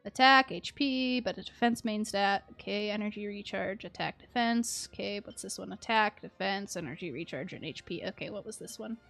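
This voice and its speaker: A woman's voice: mid-range voice, normal speed, creaky, hardly any pauses, a bit bright. A woman streamer chatting casually and thinking aloud to herself as she plays a game. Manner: chatty, muttering to herself while reading. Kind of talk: casual live gameplay chat, thinking aloud. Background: music.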